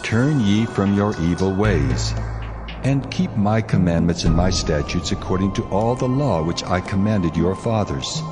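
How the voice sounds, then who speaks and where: An actor voicing God as a stern, solemn, commanding figure in a dramatized scripture reading. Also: male voice, sits low, lively intonation, music in the background. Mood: solemn urging. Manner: slow, stern, solemn pronouncement.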